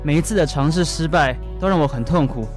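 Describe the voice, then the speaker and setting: A man plays a bartender ready to close up for good in a modern radio drama. His male voice sits a little high and he carries himself as wounded and resigned. Soft music runs faintly behind him. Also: quick pace, natural voice. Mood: bitter, hurt. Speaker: actor.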